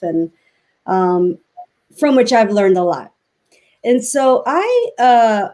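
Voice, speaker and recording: A woman from Mexico, a native Spanish speaker, speaking as a guest on a video call and talking reflectively with many pauses and fillers. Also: mid-range voice, warm tone. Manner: reflective, halting with many ums. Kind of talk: casual interview chat.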